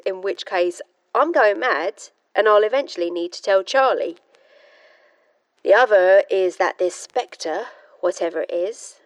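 A frustrated woman scientist with a fairly low voice, recorded close and dry. She confides in her audio-drama diary with clipped precision and wry, dry wit. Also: no echo. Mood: resolute, uneasy.

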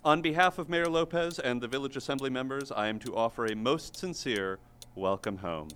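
A man's voice: mid-range voice, brisk, fairly bright tone, smooth, natural voice. A man playing an earnest, chatty assistant to a small-town mayor, formal and eager to please.

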